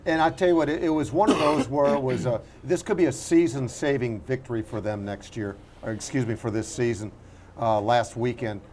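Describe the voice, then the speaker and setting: A man's voice: mid-range voice, steady tone, fairly quick, darkish tone, slight background noise. A man co-hosting a sports show, talking conversationally and emphatically, repeating phrases to stress them. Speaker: sports show co-host and analyst. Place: on location at a restaurant.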